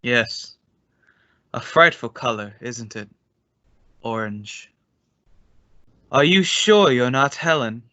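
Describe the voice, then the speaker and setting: An actor playing an unhinged, quietly menacing man in an old-time radio suspense drama, measured and sardonic, in slightly dull sound. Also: mid-range voice, lively intonation.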